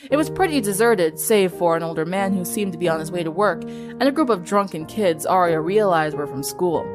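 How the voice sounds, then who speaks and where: A woman narrator reads scripted fiction in a dramatic storytelling style. Her voice sits a little low for a woman, and music plays clearly behind it. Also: lively intonation, fairly quick. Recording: music under the voice.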